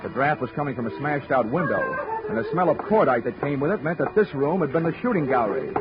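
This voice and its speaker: A man's voice: mid-range voice, animated, fast, natural voice. A man playing a wisecracking hard-boiled investigator who narrates in first person, in a 1940s radio drama on a dull old broadcast recording with music. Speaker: narrator and lead detective.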